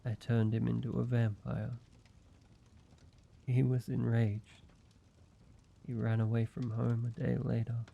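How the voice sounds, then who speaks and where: A man voicing an ancient vampire in an intimate roleplay audio, speaking in a measured, halting, solemn way, like a weary father telling his past. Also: medium pitch, completely flat delivery, creaky, narrow, tinny audio. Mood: somber, regretful.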